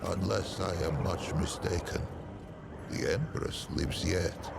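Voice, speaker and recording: A man playing an ancient, learned non-human storyteller in a video game, narrating old lore in a stately, solemn, wry manner with archaic phrasing and deliberate pauses. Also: very noisy, full character voice. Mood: solemn storytelling. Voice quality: very deep, gravelly. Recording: music behind the voice. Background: prominent background music.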